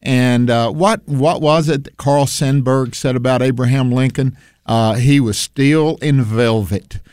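A preacher co-hosting a faith talk show, loud and passionate, leaning into his words the way he would from a pulpit. His voice sits at a middle pitch. The sound is close and dry, with no echo. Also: measured pace, clear, resonant tone. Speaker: preacher and radio co-host. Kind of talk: conversational faith discussion.

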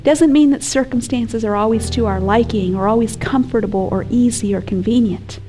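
A woman Bible teacher speaking in deliberate, measured phrases as she teaches and recites scripture. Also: fairly high pitch, warm tone, faint music behind.

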